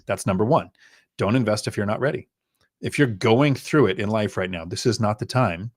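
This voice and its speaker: A man's voice: on the low side, normal speed. A man from Thailand, a guest investor, giving blunt, emphatic advice in a casual podcast chat. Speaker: guest real estate investor.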